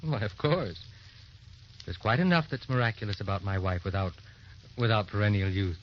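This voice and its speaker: An actor playing an affectionate, teasing, confident husband in an old radio drama, wry and mock-formal. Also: male voice, fairly low pitch, moderate pace, slight background noise, natural voice. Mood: wry, dismissive. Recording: dull old radio recording, music behind.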